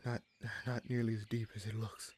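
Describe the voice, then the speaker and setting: A man playing a kindly but gruff wounded bandit leader in an audio roleplay, speaking to the listener in halting, musing phrases. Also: on the low side, level tone, a little mellow, lightly acted. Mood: relieved, wry.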